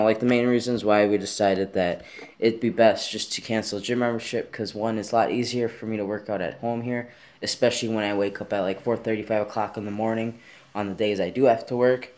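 A young man vlogging casually from his bedroom, talking in a rambling, easygoing way with plenty of fillers, recorded on a handheld camera at arm's length. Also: lowish voice. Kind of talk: casual vlog talk to camera. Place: bedroom at home, indoors.

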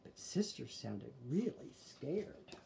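A woman in her sixties narrates a picture book with a mellow tone and very lively melody. She reads expressively and drops in little asides to the listeners. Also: rumbling low, takes it slowly, creaky, a little hiss or hum. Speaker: storybook reader. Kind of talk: children's read-aloud narration. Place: small living room, indoors.

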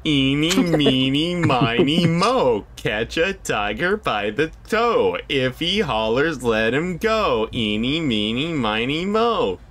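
Slow-talking man casually reacting to a game. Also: mid-range voice, very animated, a bit bright. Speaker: let's play host.

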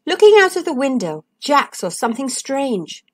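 Female children's storybook narrator: high, lively intonation, expressive and deliberate. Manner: expressive, deliberate emphasis for young listeners. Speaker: storyteller and host.

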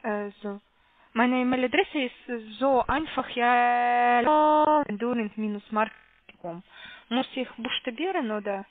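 Slow, high-voiced foreign woman on the phone. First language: not German. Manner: hesitant, spelling things out carefully. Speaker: call centre caller. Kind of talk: halting sales phone call. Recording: muffled, narrow phone line.

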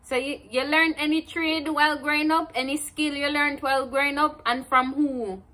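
A woman's voice: high voice, steady tone, normal speed, sharp tone. A woman in her twenties with a Jamaican accent, asking questions in a casual interview. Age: 20s. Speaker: interviewer, granddaughter. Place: indoors, plain room.

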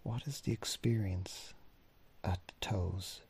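A man leading a guided body scan meditation in gently instructing, unhurried phrases with long pauses, his husky, breathy voice often trailing off into a near-whisper. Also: deep voice, fairly even intonation. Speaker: mindfulness meditation teacher.

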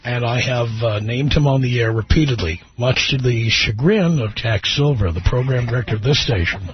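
A man's voice: medium pitch, moderate pace. A middle-aged man hosting a live radio talk show, brash and joking, teasing his own studio staff. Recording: dry, dull radio broadcast.

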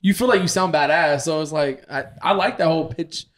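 A man's voice: a little high, fairly quick. A young man co-hosting a podcast, talking in a loose, profane way with frequent laughs into a handheld mic. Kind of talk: casual chat. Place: living room.

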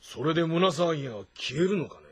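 A man playing a rough, loyal middle-aged warrior general with a husky voice and blunt, informal speech in a historical drama. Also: on the high side, quick pace. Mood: uneasy, earnest.

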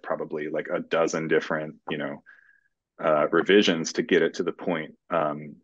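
A man in his thirties, a screenwriter casually telling his story in a halting way, over dull, dry video-call audio. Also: extremely low pitch, slightly rough, dry recording. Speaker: screenwriter being interviewed. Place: indoor room, video call.

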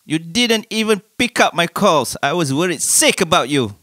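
An actor playing a protective young man, an earnest, emphatic brother figure in an animated comic drama. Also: a little high, normal speed, no echo, clean studio sound. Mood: worried, scolding. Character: protective older brother, worried and scolding. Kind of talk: animated motion comic voice acting.

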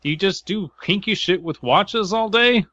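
A man with a bright, sharp-edged voice, captured close and dry. He co-hosts a comedy podcast, reading passages aloud and tossing in mocking remarks. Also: sits high, unhurried, dry recording, muffled recording. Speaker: podcast host. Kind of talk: comedic read-aloud with casual commentary.

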